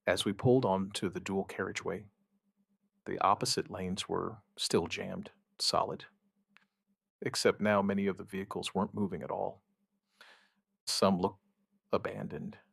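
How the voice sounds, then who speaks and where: A man acting as a steady, protective survivor looks back on the first days of an outbreak. His voice is low and gravelly, recorded close and dry. He narrates in measured, plain sentences with quiet understatement, in the manner of an apocalyptic audio drama. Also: dry recording, exaggerated voice. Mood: sombre, reflective. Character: male outbreak survivor recounting events, steady and protective partner.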